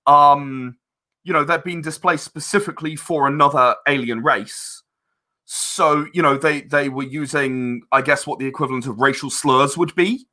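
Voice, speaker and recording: A man being interviewed as a guest, explaining his creative work in a casual, halting way and repeating words as he thinks. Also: a little high, fairly even intonation, bright, forceful tone. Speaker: interview guest, audio drama director and voice actor.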